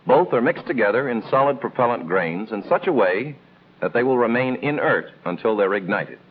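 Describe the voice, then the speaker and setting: A man's voice: medium pitch, expressive, moderate pace. Tinny 1950s American male training-film narrator. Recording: tinny band-limited old film soundtrack, noisy.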